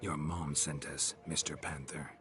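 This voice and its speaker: Gruff male monster hunter for a fantasy game: extremely low voice, terse, dry, deadpan. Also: measured pace, exaggerated voice. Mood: dry amusement. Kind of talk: video game dialogue.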